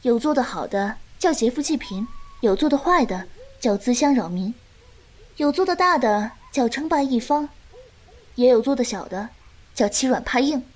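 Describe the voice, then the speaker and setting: A woman playing a calm, principled, protective elder-sister swordswoman in a martial-arts audio drama. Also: on the high side, normal speed. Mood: patient, teasing. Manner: composed, coaxing, righteous lecturing.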